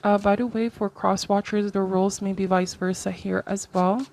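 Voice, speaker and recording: Female tarot host, mid-pitched voice, conversational and reflective, offering advice straight to the viewer. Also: little pitch movement, moderate pace, creaky, darkish tone. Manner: reflective, advisory, seeks agreement with tags like okay and right.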